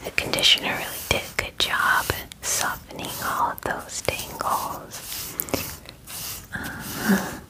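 Slow, whispering young ASMR woman. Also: rough recording. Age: young adult. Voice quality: breathy, unvoiced whisper. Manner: gentle, soothing, repeating words softly. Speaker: ASMR performer playing a spa groomer. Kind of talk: whispered ASMR personal-attention roleplay. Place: small indoor room. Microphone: close mic, speaking near it.

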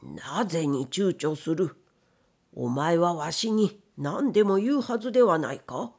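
A woman's voice: fairly low pitch, lively intonation, unhurried, a little mellow, slightly rough, heavily acted. A woman voicing a stern, probing old factory owner in an audiobook, with blunt, imperious, old-fashioned speech. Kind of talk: audiobook character reading.

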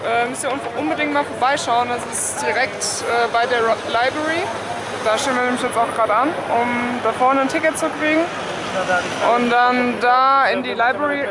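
A woman's voice: fairly high pitch, steady tone, normal speed, heavy background noise. Chatty woman vlogging outdoors. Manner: chatty and upbeat, slangy. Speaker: travel vlogger. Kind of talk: casual vlog chat. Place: busy city street outdoors, later windy beach. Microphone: camera held at arm's length.

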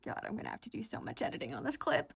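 A woman's voice: mid-range voice, huge pitch swings, very fast, vocal fry, breathy edge. A young woman reading comics aloud and reacting casually as a fan, in a gushing, giggly, sweary way.

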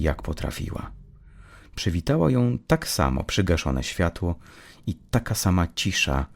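A man narrating dark fiction from a script in a measured, suspenseful, dramatic storyteller's manner. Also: deep voice, gravelly.

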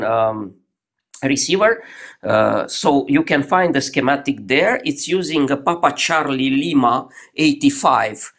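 A man presents a homemade electronics project in a casual, unscripted way. He speaks slowly at a middle pitch, often halting to search for words, picked up by a phone over his workbench. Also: vocal fry, fairly bright tone. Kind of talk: casual improvised demonstration of a homemade radio. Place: indoors at a hobby workbench. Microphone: phone held over the workbench.

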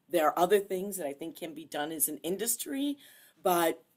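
Low-voiced woman instructor, earnest and halting. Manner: earnest, halting, with many fillers and pauses. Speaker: university archivist and instructor. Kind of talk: panel discussion over video call. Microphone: video call.

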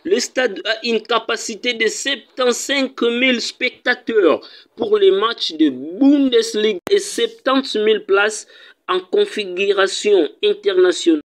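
A man narrating a voice-over as the channel's narrator, reading a numbered list slowly and carefully. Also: sits high, fairly bright tone.

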